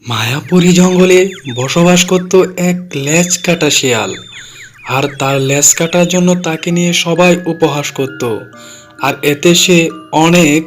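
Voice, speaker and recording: Brief: male cartoon storyteller, steady and measured. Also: a little high, soft background music. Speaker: narrator. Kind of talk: scripted storybook narration.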